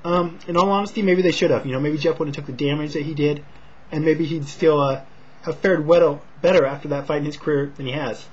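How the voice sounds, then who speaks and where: An American man chats casually as an amateur sports commentator. His voice sits a little high and sounds husky, with a quiet, hesitant delivery that is slightly short of breath. The home recording is dull and lo-fi. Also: fairly even intonation, fairly quick. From Sacramento, California, United States. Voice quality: husky, not loud. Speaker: amateur boxing commentator and vlogger. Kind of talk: casual talk to camera, fight preview and prediction.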